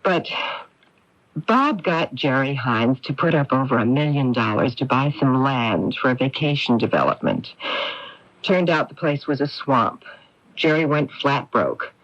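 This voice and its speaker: A middle-aged actress playing a poised, brisk, reassuring newspaper columnist in a radio drama. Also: female voice, very deep voice, expressive, heavily acted. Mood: guarded, informative. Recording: dull, dry radio broadcast tape.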